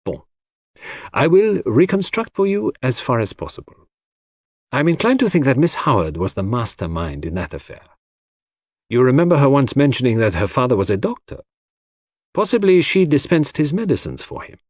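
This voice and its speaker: A shrewd foreign detective in a mystery audiobook, acted out by a male narrator, lecturing with great self-assurance. The voice is husky and theatrical, leaping up and down as he patiently lays out his reasoning, in a dry recording. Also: mid-range voice, sing-song, moderate pace, darkish tone, dry recording. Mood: amused, certain. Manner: theatrical, didactic, sprinkles French phrases.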